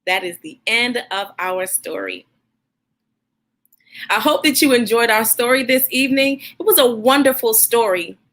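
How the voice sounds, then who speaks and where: Bright, high-voiced woman reading to children. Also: moderate pace. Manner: encouraging, addresses young listeners directly. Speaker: read-aloud host and teacher. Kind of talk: expressive children's storybook read-aloud and chat.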